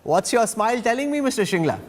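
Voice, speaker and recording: A man's voice: extremely high pitch, big pitch swings, fast talker. A man anchoring a live news interview, asking teasing, wry questions. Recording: long reverb on the voice.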